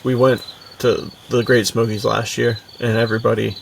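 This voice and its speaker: A man's voice: mid-range voice, little pitch movement, normal speed, gravelly, far from the mic, slight background noise. An American man co-hosting a podcast in casual, informal chat, using plenty of laid-back slang. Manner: informal, bro-ish, frequent "dude" and "like". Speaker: podcast co-host and adventure fiction author.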